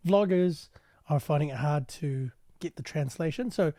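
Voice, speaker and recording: A man translating and explaining a news clip in a casual, halting way with many pauses. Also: on the high side, mellow, no room sound, studio quality. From New Zealand. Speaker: translator and commentator.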